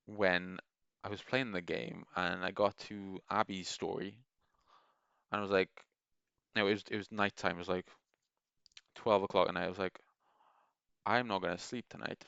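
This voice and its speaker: Deep-voiced man rambling enthusiastically. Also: level tone, normal speed, creaky, pauses often, fairly bright tone, smooth, dry recording, lo-fi sound. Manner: meandering, enthusiastic, many restarts. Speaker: gaming video creator. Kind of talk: casual rambling monologue.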